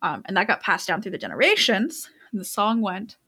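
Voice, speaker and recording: A woman's voice: medium pitch, sing-song, fairly quick, bright, forceful tone, slightly rough. A young woman casually retelling a book's plot to a friend, rambling with lots of fillers and restarts. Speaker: storyteller recapping a book. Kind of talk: casual chat.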